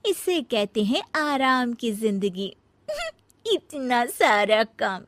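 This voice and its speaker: Woman voicing a sulky lazy cartoon daughter-in-law, very high bright voice, unhurried, dry close recording. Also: sing-song, no echo, full character voice. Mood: smug. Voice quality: whiny, sulky. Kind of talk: cartoon voice acting.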